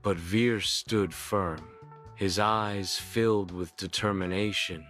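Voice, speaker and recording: Deep, slow male storyteller. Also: fairly even intonation, a bit bright, faint music behind. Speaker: narrator. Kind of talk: scripted bedtime story narration.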